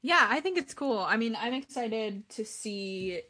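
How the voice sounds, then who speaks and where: A woman co-hosting a casual chat, enthusiastic and frequently pausing with "um". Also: a little high, animated, pushed and bright, no room sound.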